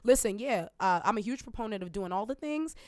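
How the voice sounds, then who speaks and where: An energetic woman talking through her work in a sit-down interview, punching her words and breaking into laughs. Her voice sits at a middle pitch. The sound is dry and close, with no room behind her. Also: fairly quick, no room sound. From Jamaican family. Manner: animated, emphatic, laughs often. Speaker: guest entrepreneur. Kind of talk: casual interview conversation.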